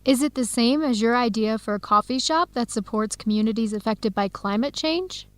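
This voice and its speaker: A woman playing a determined young American woman in an English-learning radio drama, speaking in clear, simple scripted sentences with an American accent. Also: a little high, moderate pace, no room sound, close to an everyday voice. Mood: supportive, interested. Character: Helen, determined young American woman searching for birth family.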